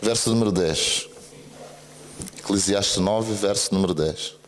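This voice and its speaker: A man with a deep, low voice preaches in a church, his Brazilian accent mixed with a European Portuguese lilt. He speaks earnestly and keeps turning to the congregation for an amen. A long reverb from the church hall trails behind every phrase. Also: a little slow, slight background noise. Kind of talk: church sermon.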